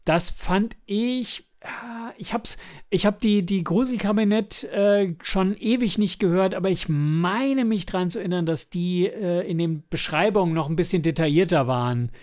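A man's voice: sits high, moderate pace, a little mellow. A man co-hosting a podcast, discussing and reviewing in a casual, rambling way as he searches for words. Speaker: podcast co-host and audio drama maker.

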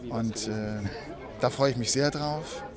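A man's voice: medium pitch, a little slow. A man speaking casually in an interview as an audio drama actor. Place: busy audio drama convention. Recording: busy convention crowd behind voice.

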